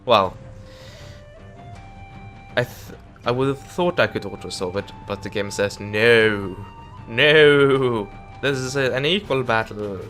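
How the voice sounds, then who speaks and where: A man's voice: mid-range voice, slow, fairly bright tone, soft background music. A young man with a stuffy nose and nasal voice, chatting casually while commenting on a strategy game. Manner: chatty, muttering to the game, mild swearing. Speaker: gameplay commentator. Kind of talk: let's play commentary.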